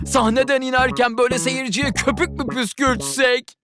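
Actor playing cartoon monkey band leader, high voice, cocky, excitable, show-off, music in the background. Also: normal speed, natural voice. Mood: eager. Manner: boastful, excitable, argumentative. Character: brash young monkey rapper, eager show-off band leader. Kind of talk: animated cartoon dubbing. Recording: music behind the voice.

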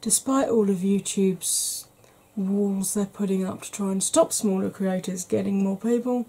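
A middle-aged woman chatting softly in a rambling, gentle way for an ASMR makeup vlog, seated in a small room at home. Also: mid-range voice, fairly even intonation, unhurried, husky, low-quality recording. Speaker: beauty YouTuber. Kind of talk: soft-spoken ASMR makeup chat.

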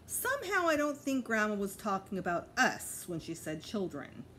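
Expressive woman reading a children's story. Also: mid-range voice, normal speed, a little hiss or hum. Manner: careful, expressive reading with voiced dialogue. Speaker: teacher reading aloud to pupils. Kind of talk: children's storybook read-aloud.

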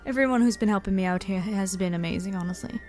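A woman's voice: mid-range voice, fast, darkish tone, soft background music, natural voice. A woman voicing an elven tavern keeper in an ASMR roleplay, familiar and teasing with the listener, protective and tough. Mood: affectionate, chatty. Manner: familiar, teasing, uses pet names.

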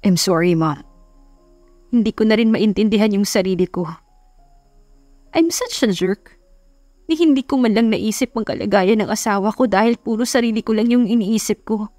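A woman's voice: medium pitch, normal speed, pauses often, warm tone, dry recording, faint music behind, natural voice. A woman voicing a despairing, short-tempered young husband, curt and serious, in a romance audiobook. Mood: remorseful. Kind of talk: dramatized audiobook romance reading.

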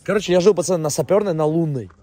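A man's voice: fairly high pitch, racing. A young man rambling and swearing as he chats with friends outdoors at night, holding the camera at arm's length. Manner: rambling, swearing, loud bursts while reminiscing. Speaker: streamer. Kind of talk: casual chat among friends.

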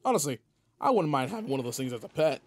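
Man playing a higher-voiced, wry guardian mentor. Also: very animated, fast, slightly rough. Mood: casual, wry. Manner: casual, slangy, wry, talking to an unheard partner. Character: seasoned, laid-back young male space guardian mentor, teasing. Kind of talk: video game roleplay audio, in-character monologue.

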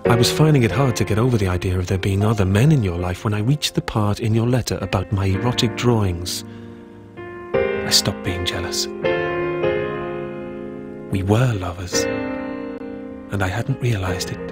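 A man playing a lonely, self-mocking artist, reading his letters aloud in an introspective, measured way for an audiobook. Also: fairly low pitch, loud music behind the voice, natural voice. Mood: tender.

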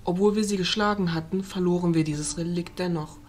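A woman's voice: on the low side, steady tone, a little mellow, close to an everyday voice. A woman playing a composed, authoritative fairy leader who speaks formally in an amateur audio drama. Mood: composed, solemn. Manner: formal, measured.

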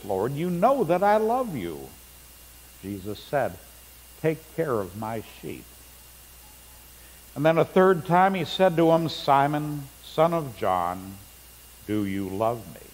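Middle-aged male pastor, slow deliberate sermon delivery, picked up from afar in a big sanctuary. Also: medium pitch. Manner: unhurried preaching, reading scripture aloud with rising emphasis. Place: large church sanctuary. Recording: long church reverb, faint hum.

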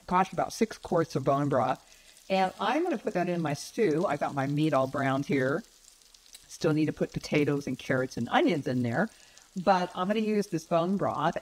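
Woman, 60s, home cooking vlogger; chatty and rambling, slightly low voice, phone-at-arm's-length sound. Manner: chatty, friendly, rambling with fillers and laughs. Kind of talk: casual chat to camera. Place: home kitchen, indoors. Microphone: phone camera at arm's length.

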